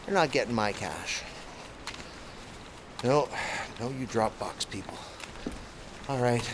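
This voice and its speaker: A man's voice: mid-range voice, takes it slowly, background noise. A man from Canada talking casually outdoors in a rambling way, often restarting his thoughts while holding his phone. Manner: rambling, thinks aloud with many restarts. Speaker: vlogger. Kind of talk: casual outdoor talk to camera.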